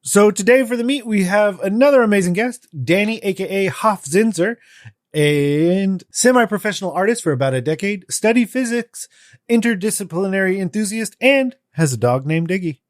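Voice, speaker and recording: Male podcast host, conversational, higher-than-average voice, very little room sound. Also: expressive, moderate pace, no echo, studio quality. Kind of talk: casual podcast hosting.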